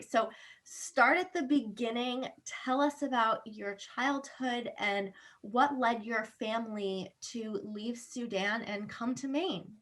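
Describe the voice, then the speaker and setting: A woman's voice: on the high side, a bit bright. Upbeat woman hosting an online interview. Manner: upbeat, welcoming, reads event housekeeping. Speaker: interview host, board member. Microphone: video call.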